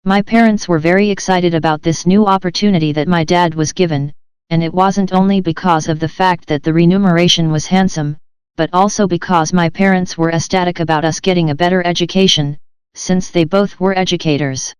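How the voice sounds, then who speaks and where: An audiobook narrator reading a first-person memoir in a steady, even manner. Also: medium pitch, fairly quick, clean tone, no room sound.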